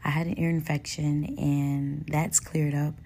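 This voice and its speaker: Young woman podcast host, hoarse and husky, with a low pitch; relaxed, slangy solo chat. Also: a little slow. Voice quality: raspy, soft voice. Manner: relaxed and chatty, laughs often, slangy asides. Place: hotel room.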